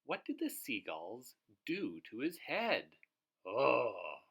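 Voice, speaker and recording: A man's voice: medium pitch, wildly swooping pitch, takes it slowly, a bit bright, slightly rough. A man reading a children's storybook aloud as host, expressively, putting questions to his young listeners.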